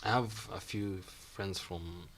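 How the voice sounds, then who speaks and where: A man's voice: sits low, takes it slowly. A young man from Kenya, a student and guest, speaking casually and acting out the reactions he quotes. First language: Swahili. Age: young adult. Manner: relaxed, repeats phrases, acts out quoted reactions. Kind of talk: casual conversational interview. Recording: faint background noise.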